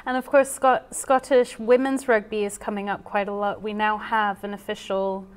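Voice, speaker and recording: A woman's voice: mid-range voice, level tone, moderate pace, clear, resonant tone. A woman interviewer asking questions in a casual, conversational style.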